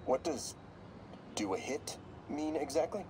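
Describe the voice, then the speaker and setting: An actor playing a composed, probing therapist in a game, asking measured professional questions on a recorded session. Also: male voice, a little high, lively intonation, noisy background. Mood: uneasy, careful. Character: composed, probing male therapist, increasingly uneasy.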